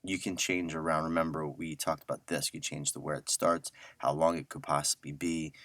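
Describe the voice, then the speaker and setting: A middle-aged man giving a casual audio software tutorial, talking informally and thinking aloud as he works. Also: rumbling low, flat delivery, fairly quick, no room sound. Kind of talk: casual screen-share tutorial.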